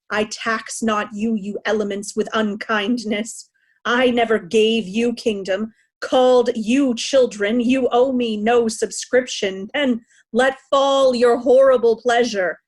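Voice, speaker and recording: A woman playing an infirm, raging old king in slow, declamatory Shakespearean verse. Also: a little high. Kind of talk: theatrical Shakespearean verse reading.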